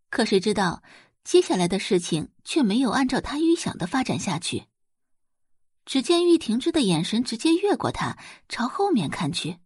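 A woman's voice: mid-range voice, a little mellow, slightly rough, dry recording, clean studio sound. A woman narrating a dramatic audiobook story from a script.

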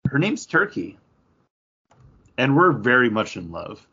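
Guy hosting a podcast, animated, bright but raspy, bit lo-fi. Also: medium pitch, unhurried. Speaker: podcast host. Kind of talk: casual chat, moderating a ranking.

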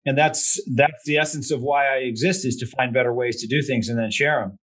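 A man's voice: mid-range voice, steady tone, a little fast, a bit bright, husky. A man hosting a conversational interview, thoughtful and affirming, often answering with short acknowledgements. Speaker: host.

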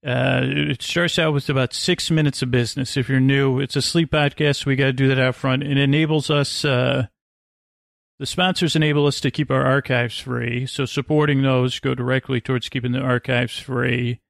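Creaky, meandering man hosting a bedtime podcast. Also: mid-range voice, steady tone, no echo, clean studio sound. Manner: meandering, digressive, halting with self-interruptions; lulling, gentle. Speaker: podcast host. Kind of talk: rambling, soothing bedtime monologue.

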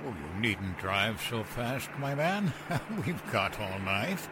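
A man's voice: on the low side, big pitch swings, takes it slowly, noisy background. An actor playing a well-to-do, condescending man about town as a cab passenger in an old-time radio drama. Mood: uneasy.

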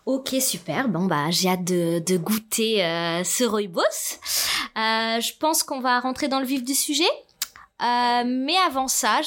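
A woman interviewing guests on a podcast, asking questions in a friendly, upbeat way. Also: on the high side. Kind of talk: casual interview.

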